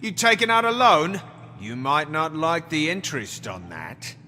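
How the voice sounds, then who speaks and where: An actor playing a cocky, evasive street thug in a video game, flippant, slangy and defensive under questioning. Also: male voice, fairly high pitch, big pitch swings, a little slow, sharp tone, big-room sound, a little hiss or hum, heavily acted. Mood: sarcastic.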